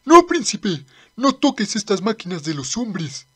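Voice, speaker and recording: A woman's voice: mid-range voice, sing-song, a little slow, breathy edge. A woman voicing a fretful, whiny old male demon attendant in a manga dub, fussy and deferential. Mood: reluctant. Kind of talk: amateur manga dub acting.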